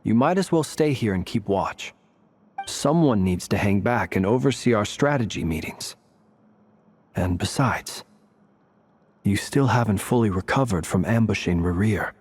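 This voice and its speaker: Game tactician, low-pitched, dry, matter-of-fact, composed. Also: male voice, lively intonation, a little slow, a little mellow, breathy edge. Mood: calm, dry. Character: calm, matter-of-fact male strategist comrade. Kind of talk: video game English dub acting.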